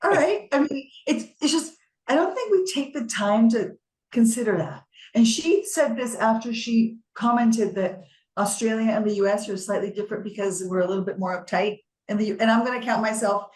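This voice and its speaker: A woman co-hosting a podcast, talking in animated, emphatic bursts in casual chat. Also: on the high side, moderate pace. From Colombian American. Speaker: podcast co-host. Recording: roomy echo.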